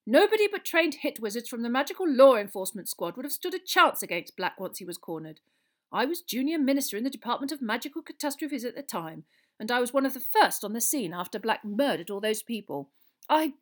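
A woman voicing a pompous middle-aged male government minister in a storybook, officious, measured and grave. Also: fairly high pitch, voice pushed up. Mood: haunted.

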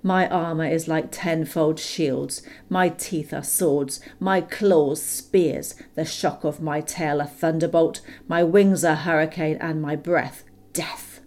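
A woman voicing a huge, ancient, boastful male dragon, speaking in a loud, slow, gloating way. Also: fairly even intonation. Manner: booming, gloating, slow and grand.

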